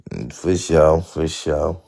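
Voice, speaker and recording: A man's voice: very deep voice, slow pace, creaky, a little mellow, a little hiss or hum. An American man from Atlanta, born in New York, chatting casually in an emphatic, slangy way with some swearing.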